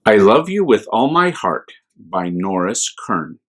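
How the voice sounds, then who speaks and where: An American man, a priest hosting a children's storytime, reads aloud in a gentle, expressive, unhurried way. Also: lowish voice.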